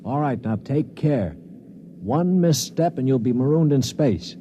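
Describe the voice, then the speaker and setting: A man's voice: mid-range voice, animated, slow, mellow. An American actor in a 1950s radio drama playing a tense, commanding man coaching a crewmate over the radio with urgent instructions. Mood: urgent, steadying. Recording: muffled, noisy 1950s radio recording.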